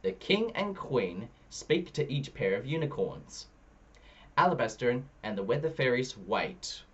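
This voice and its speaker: A man reading a children's story aloud expressively, shifting his pitch between narration and dialogue. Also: sing-song, measured pace, breathy edge, dull audio. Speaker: storybook reader.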